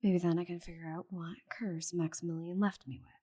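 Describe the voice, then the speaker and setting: A woman's voice: fairly low pitch, little pitch movement, brisk, mellow, breathy edge. A woman voicing a proud, stubborn giant female dragon in an intimate ASMR roleplay, haughty and secretive, slipping into whispers. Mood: grave, puzzled.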